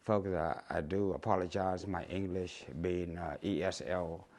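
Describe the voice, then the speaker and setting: Older Cambodian-accented man, low voice, slow halting delivery, full of fillers. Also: fairly even intonation, a little mellow. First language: Khmer. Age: 60s. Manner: rambling, halting, many "uh"s and restarts. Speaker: YouTuber. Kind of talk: casual vlog to camera.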